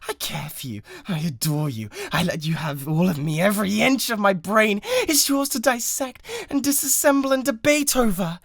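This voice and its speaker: A man's voice: high-pitched, animated, normal speed, vocal fry, hardly any pauses, a bit bright, dry recording, studio quality, full character voice. A British man playing a bratty, obsessive yandere livestreamer in a dramatic audio roleplay, talking to viewers in a theatrical, stammering, defensive way. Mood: furious, ranting.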